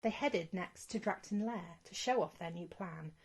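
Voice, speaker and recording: A woman reading a rhyming children's storybook as scripted narration, in an expressive storytelling manner. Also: fairly low pitch, normal speed, slightly rough.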